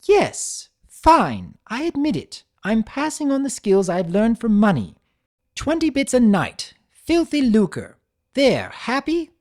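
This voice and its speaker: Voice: medium pitch, wildly swooping pitch, slow, darkish tone, no echo, studio quality, pitched above the performer's own voice. A performer voicing an elegant, proud and guarded female unicorn pony in a cartoon fan story, with refined, theatrical and precise diction. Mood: exasperated.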